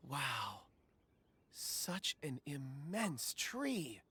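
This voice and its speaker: A man's voice: mid-range voice, very animated, drawn-out words, pushed and bright, husky, exaggerated voice. An actor playing a mild, thoughtful young man in an anime game, polite and hesitant. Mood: intrigued. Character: mild, pensive young man who studies rocks.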